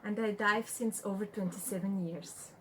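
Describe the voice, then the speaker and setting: A woman's voice: mid-range voice, steady tone, moderate pace, background noise. A woman from Switzerland, a diver, giving plain, practical advice.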